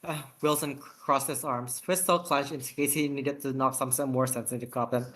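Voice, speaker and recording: An amateur reading fan fiction aloud and chatting casually, halting and often repeating words. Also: medium pitch, steady tone, fairly bright tone. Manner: halting, stumbling over words and repeating them. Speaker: author reading own story aloud.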